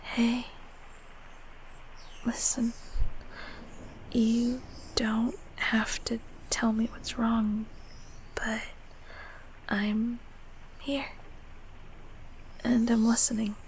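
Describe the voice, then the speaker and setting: A woman voicing a gentle, protective childhood closet monster in a comfort audio roleplay, speaking haltingly in a breathy, raspy whisper. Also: on the high side, little pitch movement, slight background noise, rough recording. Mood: tender, patient. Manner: halting, soothing, softly spoken.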